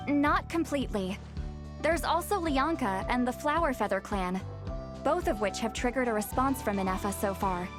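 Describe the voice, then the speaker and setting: A proud, sharp-tongued young shaman, voiced by a woman for a video game. She talks in a wry, slightly haughty lecturing tone with a fairly high voice, over clear game music. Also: expressive, moderate pace, close to an everyday voice. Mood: explaining. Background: game soundtrack music.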